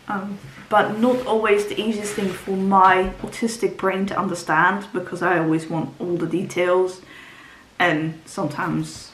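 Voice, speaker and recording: Young woman, rambling book chat, lots of ums, lowish voice, roomy echo. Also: a little hiss or hum. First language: Dutch. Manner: rambling, frequent ums and restarts. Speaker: book vlogger. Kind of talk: casual book talk to camera. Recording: roomy echo, faint ambience.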